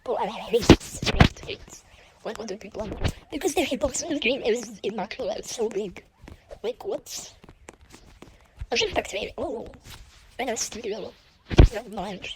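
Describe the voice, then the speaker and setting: A woman giving casual gameplay commentary, often repeating short phrases in quick bursts. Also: fairly high pitch. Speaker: gamer commentating own play. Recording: noisy home recording with clicks.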